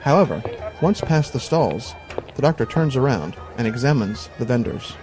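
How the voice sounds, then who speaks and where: A man's voice: medium pitch, animated, moderate pace, a little mellow. A man reading scripted narration as the narrator of an audio drama. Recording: music bed behind voice.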